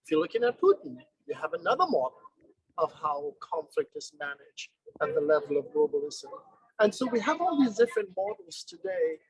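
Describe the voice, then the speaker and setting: A man, a summer program teacher, presenting his class outdoors over a video call in an earnest way, posing rhetorical questions with thoughtful pauses. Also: high voice, very animated, breathy edge, poor audio. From born in Guyana, raised in England.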